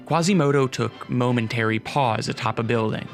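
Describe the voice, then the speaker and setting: Male narrator for radio drama: measured, scripted storytelling, mid pitch, light music bed. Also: gravelly.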